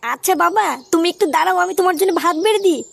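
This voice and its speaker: Very high-pitched dutiful young daughter-in-law, a woman acting. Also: racing, vocal fry, exaggerated voice. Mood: obliging. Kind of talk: comedy cartoon voice acting.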